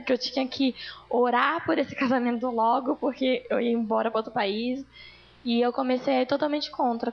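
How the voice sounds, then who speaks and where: A woman speaks to a church congregation in a fairly high, gravelly voice. She tells her testimony earnestly, like a story. The recording sounds dull and lo-fi. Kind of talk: testimony sermon.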